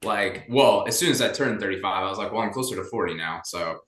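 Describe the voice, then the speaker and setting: A man's voice: on the low side, fast, fairly bright tone. A man in his thirties chatting casually as a guest, laughing often and rambling with fillers. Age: 30s. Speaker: podcast guest. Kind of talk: casual chat. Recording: echoey room.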